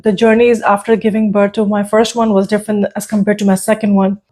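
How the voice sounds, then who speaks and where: A woman in her thirties hosts a relaxed podcast conversation at a middle pitch. She talks easily and casually, restarting her sentences and leaning on fillers as she goes. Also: fast. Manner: warm greeting, conversational with fillers and repeats. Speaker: podcast host.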